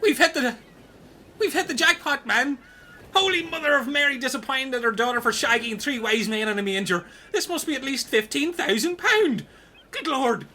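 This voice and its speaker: A man's voice: extremely high pitch, animated, moderate pace, sharp tone, exaggerated voice. A man playing a foul-mouthed, bossy castaway in a comic radio play, blustering and dramatic. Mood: excited.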